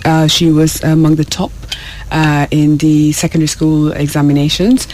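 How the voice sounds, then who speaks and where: A woman from South Sudan speaking as an interview guest, halting and often searching for words. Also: low voice, level tone, darkish tone, a little hiss or hum, poor audio. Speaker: guest, education program worker. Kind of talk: radio interview.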